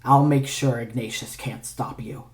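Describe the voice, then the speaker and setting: A performer voicing a cold, curt male soldier in an audio story, speaking in a terse, low growl. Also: steady tone, measured pace, close to an everyday voice. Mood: cold menace.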